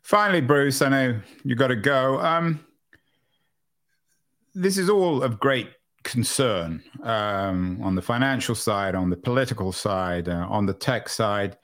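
A male podcast host with a medium-pitched voice conducts an interview. He speaks conversationally, putting probing questions and qualifying his own phrasing along the way. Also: lively intonation, a little slow, fairly bright tone.

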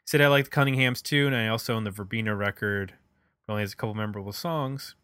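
A man's voice: lowish voice, fast, a bit bright, clear, resonant tone, no room sound, clean studio sound. A middle-aged man chatting casually as the host of a music podcast.

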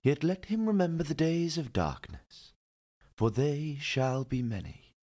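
A man playing a solemn priest in an audio play, reciting funeral rites in a grave, measured way. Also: on the high side, lively intonation, a little mellow.

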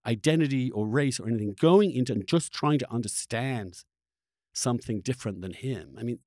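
A man's voice: mid-range voice, animated, brisk, a little mellow, dry recording, studio quality. A man hosting a conversational interview, earnest and emphatic, often repeating words and restarting as he gets worked up. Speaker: podcast host interviewing a guest.

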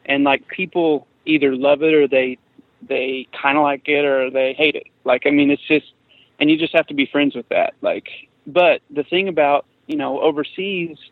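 A man's voice: a little high, steady tone, normal speed, no room sound. Rambling man on a tinny line. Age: 40s. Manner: rambling, many restarts and fillers. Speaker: guest musician. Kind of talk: casual interview chat. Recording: tinny phone-like remote line.

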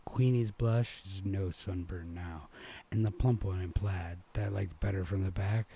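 A man from New England reading a literary short story aloud as an expressive narrator. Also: low voice, normal speed, vocal fry, slight background noise. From New England, United States. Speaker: reader.

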